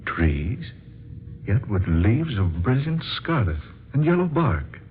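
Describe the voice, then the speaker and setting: An actor playing a determined scientist in an old-time radio drama, speaking in a deliberate, formal, grave way. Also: male voice, mid-range voice, very animated, a little hiss or hum. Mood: awed. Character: determined male scientist shrinking himself with his potion. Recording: dull lo-fi old radio recording, music.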